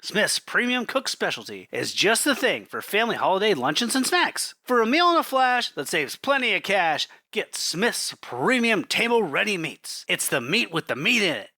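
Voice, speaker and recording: A man's voice: sits high, very animated, moderate pace, few pauses, bright, forceful tone, dry recording, clean studio sound. A man announcing in the style of a 1940s radio sponsor read, with music behind.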